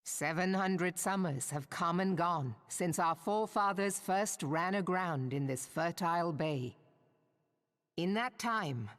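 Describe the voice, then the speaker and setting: An actress voicing a proud, solemn seafaring woman admiral in a video game, giving a measured, declamatory ceremonial speech. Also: fairly low pitch. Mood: proud, stirring. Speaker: military leader addressing followers. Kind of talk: rousing ceremonial oration, video game voice acting. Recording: long hall reverb.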